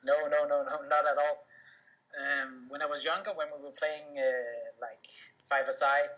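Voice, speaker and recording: A man's voice: on the high side, fairly even intonation, measured pace, sharp tone, low-quality recording. A young man, a native Danish speaker, answering interview questions casually, with many fillers and restarts. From Denmark. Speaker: professional footballer, interview guest.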